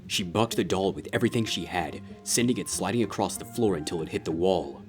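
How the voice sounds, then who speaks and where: A man reading scripted fan fiction as a dramatic story narrator, with a husky voice. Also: low voice, a little fast, soft background music.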